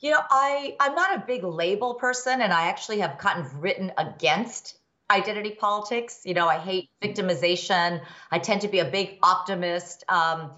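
A woman's voice: medium pitch, normal speed, bright, forceful tone, lo-fi sound. A woman in her fifties from the American Midwest, answering interview questions candidly with many fillers and restarts. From United States, Midwest. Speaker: guest expert, law professor.

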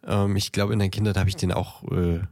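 Low, creaky-voiced man chatting casually. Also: little pitch movement, a little mellow, dry recording, studio quality. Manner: halting, thinking aloud. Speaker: podcast co-host. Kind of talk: casual chat, ranking films.

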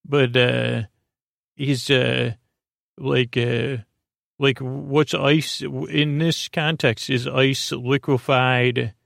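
A man with a creaky, gravelly voice hosting a sleep podcast, rambling hesitantly through a bedtime monologue, stammering and drifting into tangents. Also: medium pitch, level tone, drawn-out words, fairly bright tone, no echo, clean studio sound.

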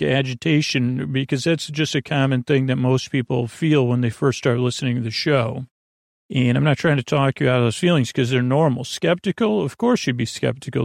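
Male host for a bedtime podcast. Creaky, fry-heavy voice, rambling and hesitant, lulling delivery, very dry recording. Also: mid-range voice, no room sound. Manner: meandering, hesitant, trailing off into tangents. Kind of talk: rambling sleep podcast intro, soothing monologue.

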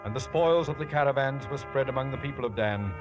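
An actor playing a solemn biblical storyteller, measured and formal, narrating a 1950s radio drama. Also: male voice, mid-range voice, highs cut off, music in the background, close to an everyday voice.

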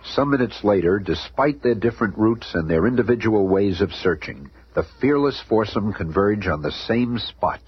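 A solemn adventure narrator has a very husky, fairly low voice with lively intonation. He sounds like dramatic radio storytelling on a dull recording. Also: normal speed, hardly any pauses.

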